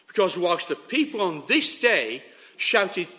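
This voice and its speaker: A man preaching a sermon with a hoarse, sore throat, emphatic and often checking that his listeners are following. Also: high voice, sing-song, unhurried, bright, forceful tone.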